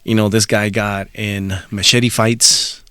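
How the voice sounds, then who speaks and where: A man's voice: lowish voice, level tone, slow, sharp tone, clear, resonant tone, no echo. A middle-aged man from El Salvador, a podcast guest telling personal stories in an easygoing, reflective way. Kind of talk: casual podcast conversation.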